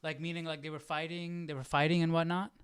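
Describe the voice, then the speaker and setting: A man's voice: on the high side, level tone, quick pace, a bit bright, clear, resonant tone, no room sound. A man chatting casually as a live study host, thinking aloud in an informal, tentative way.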